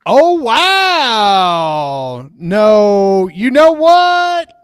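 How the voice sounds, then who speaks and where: Woman acting slow, stern lawyer. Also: mid-range voice, very animated, clean tone, no room sound, studio quality. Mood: stern, irritated. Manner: deliberate, scolding. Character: stern, irritated opposing lawyer in a deposition. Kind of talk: amateur dramatic reading of a deposition.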